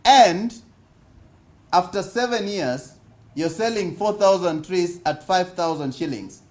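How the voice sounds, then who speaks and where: A Kenyan man with a fairly high voice addresses a room at a business forum. He speaks slowly and deliberately, putting questions to the audience and going back over his points. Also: expressive, darkish tone. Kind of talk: interactive seminar talk. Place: conference hall.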